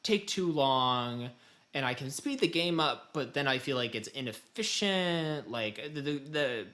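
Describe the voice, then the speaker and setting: A man's voice: medium pitch, expressive, unhurried, sharp tone. Self-deprecating man chatting casually about games. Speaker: podcast co-host.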